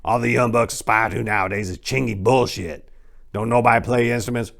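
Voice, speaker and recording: Loud, raspy old Southern preacher-bluesman. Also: medium pitch, fairly even intonation, a little fast, pitched above the performer's own voice. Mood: indignant, riled. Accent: American South. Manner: ranting, scripture-quoting, forceful.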